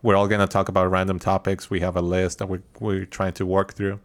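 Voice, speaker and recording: A man in his thirties, originally from Mexico, co-hosting a casual podcast and speaking in a measured, hesitant way. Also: sits low, monotone. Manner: measured, hesitant, lots of fillers. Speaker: podcast co-host. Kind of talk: casual chat.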